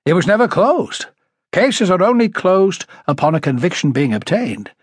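A man voicing a courteous, matter-of-fact male police detective in audiobook dialogue, formal and deliberate. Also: high-pitched, very animated, breathy edge, dry recording, studio quality, pitched above the performer's own voice, exaggerated voice. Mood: firm.

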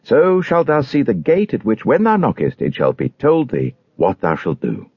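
A man voicing a solemn, steady evangelist guide in a storybook, deliberate and commanding. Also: mid-range voice, breathy edge, no room sound, highs cut off. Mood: instructing.

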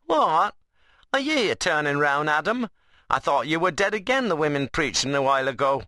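Man acting a high-pitched, cheeky young rustic workman. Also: very animated, moderate pace, bright, forceful tone, dry recording, studio quality. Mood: mocking. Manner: mocking, needling rustic dialect. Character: wiry young workman, cheeky sarcastic joker.

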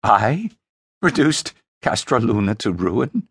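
A man voicing a wretched, petulant prince in a fantasy audiobook, pleading and defiant in a hoarse, cracking voice. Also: lowish voice, very animated, slow, fairly bright tone, dry recording, clean studio sound, voice pushed up, full character voice. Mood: hysterical defiance. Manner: pleading, stammering, spitting outbursts. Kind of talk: dramatic fantasy audiobook character voice.

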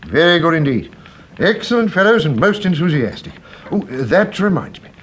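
An actor playing a kindly, absent-minded older English country rector in a radio drama, fussy and effusive, often hesitating and repeating himself. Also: male voice, fairly high pitch, very animated, moderate pace, a bit bright, a little hiss or hum. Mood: proud, enthusiastic.

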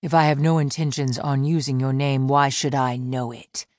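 A woman's voice: a bass voice, flat delivery, normal speed, a little mellow, dry recording, studio quality. A woman voicing a surly, contemptuous fae man who speaks in curt, blunt lines.

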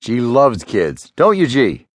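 A man narrating a story, voicing a self-important, smooth-talking male lawyer who is a father. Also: medium pitch, wildly swooping pitch, slow pace, no echo, heavily acted. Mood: boastful.

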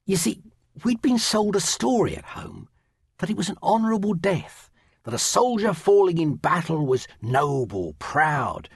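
A man's voice: sits high, sing-song, a little slow, no echo, clean studio sound, exaggerated voice. An actor playing an old great-grandfather, a Great War veteran looking back bitterly, in an audio drama. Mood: bitter, grave. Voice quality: gravelly, husky.

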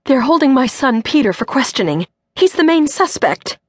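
A distraught mother , put on by a woman reading the story, who gasps and pleads through her tears. Her voice rides high and strained, and it swings wildly as she begs for help. The recording is close and dry. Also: lively intonation, moderate pace, no room sound. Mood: panicked. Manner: gasping, tearful, pleading. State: crying. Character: panicked grieving mother, strong elegant woman.

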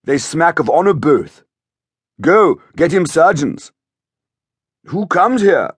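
A man voicing a stately, commanding king, speaking Shakespearean verse in a regal, measured manner. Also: fairly high pitch, sing-song, slightly rough, no echo, studio quality, pitched above the performer's own voice, exaggerated voice. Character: stately, commanding king, generous to his warriors. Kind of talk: dramatized Shakespeare verse audiobook.

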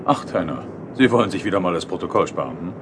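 Low-voiced, dryly witty detective. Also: male voice, lively intonation, fast, very noisy. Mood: dry teasing. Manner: dry, teasing. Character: calm, dryly witty male detective investigating the occult. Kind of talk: German audio drama acting.

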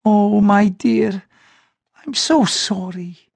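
A male narrator voicing a gentle middle-aged mother who speaks tenderly, slowly and soothingly. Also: sits high, very animated, slightly rough, pitched above the performer's own voice, exaggerated voice.

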